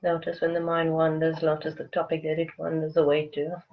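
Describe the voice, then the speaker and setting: Soothing unhurried meditation guide, muffled. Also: medium pitch, monotone.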